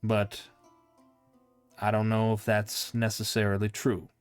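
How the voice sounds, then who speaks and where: Man doing a drawling old-timer western gunowner, unhurried and folksy, with faint music underneath. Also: fairly low pitch, completely flat delivery, clean tone, no room sound, natural voice. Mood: ominous.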